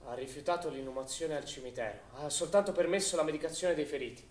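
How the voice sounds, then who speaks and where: A man's voice: a little high, quick pace, a little hiss or hum, close to an everyday voice. Male actor as distant, echoing stage narrator. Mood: grave, suspenseful. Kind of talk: theatrical scripted narration.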